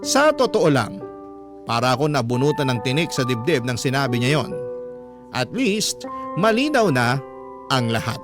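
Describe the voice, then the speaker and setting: A man's voice: medium pitch, big pitch swings, normal speed, pauses often. A man with a Filipino accent reading a first-person letter as earnest, confiding radio-drama narration. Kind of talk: dramatic scripted narration in classic radio manner. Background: music bed.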